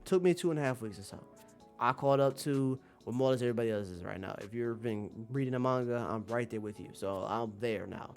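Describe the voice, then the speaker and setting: A male streamer talks off the cuff to his audience in a mid-pitched voice, with music playing behind him. His delivery is slangy and emphatic, circling back on phrases to drive them home. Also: quick pace, creaky. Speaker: streamer and manga commentator. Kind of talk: casual rambling vlog monologue to viewers.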